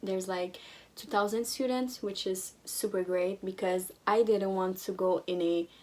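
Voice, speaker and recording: Young woman explaining her story to the camera, mid-pitched voice. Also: steady tone, unhurried, dark tone. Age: young adult. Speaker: vlogger. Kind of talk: casual chat to camera.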